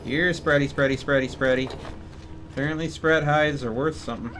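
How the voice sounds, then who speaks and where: A man's voice: fairly high pitch, steady tone, a little fast, fairly bright tone, slight background noise, poor audio. A man giving casual live commentary as he streams a game, musing to himself as he goes.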